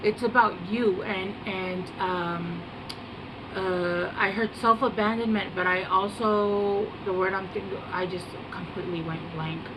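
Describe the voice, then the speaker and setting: A woman giving a casual tarot reading to camera, soft-spoken and meandering, with many pauses and fillers. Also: mid-range voice, fairly even intonation. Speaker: tarot reader. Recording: home recording with air-conditioner hum.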